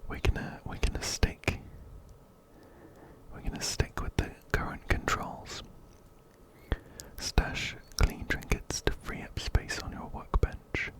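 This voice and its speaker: Whispering man, very slow and close. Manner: soft, unhurried whispering, repeating phrases. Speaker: video game streamer and ASMR creator. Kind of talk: whispered ASMR game playthrough, reading dialogue aloud. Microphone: close-up binaural microphone, ear to ear.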